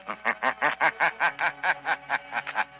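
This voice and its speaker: An actor playing a sinister, mocking unseen crime-fighter in a 1930s radio mystery, with a menacing, drawn-out delivery. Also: male voice, mid-range voice, pushed and bright, full character voice. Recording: dull 1930s radio recording, music behind.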